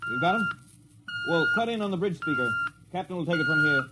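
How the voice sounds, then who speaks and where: A man acting a crisp, authoritative starship officer in a 1950s radio drama. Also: high-pitched, wildly swooping pitch, quick pace, very noisy. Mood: urgent, formal. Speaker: actor. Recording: vintage radio recording, loud music behind.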